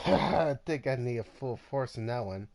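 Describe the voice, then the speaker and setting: A man giving casual commentary on his gameplay, muttering to himself with sudden loud outbursts. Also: medium pitch, lively intonation, unhurried, gravelly. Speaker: gamer.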